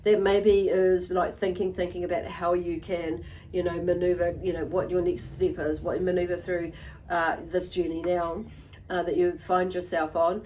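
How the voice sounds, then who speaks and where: Lady with a lowish voice doing an off the cuff tarot reading, rambly n halting, bit of noise and clicking on the audio. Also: little pitch movement, warm tone, poor audio. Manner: halting, meandering, frequently repeats and rephrases herself. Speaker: tarot reader and channel host. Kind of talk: unscripted tarot card reading, spoken to viewers.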